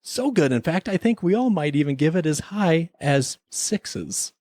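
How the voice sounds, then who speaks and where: A man's voice: on the high side, animated, a little slow, a little mellow, dry recording. A man hosting a podcast that mixes casual chat with comic radio drama.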